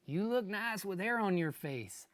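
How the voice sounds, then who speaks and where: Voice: medium pitch, expressive, a little slow, voice pushed up, close to an everyday voice. A storyteller voicing a hapless, eager little country boy who is forgetful and chants his phrases over and over. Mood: muddled chanting.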